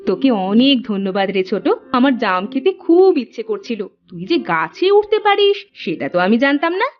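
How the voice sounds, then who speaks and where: A woman voicing a caring, reassuring elder co-wife in a village cartoon, with a gentle sisterly manner. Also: a little high, sing-song, fairly quick, lo-fi sound, faint music behind. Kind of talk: Bengali moral-story cartoon dialogue.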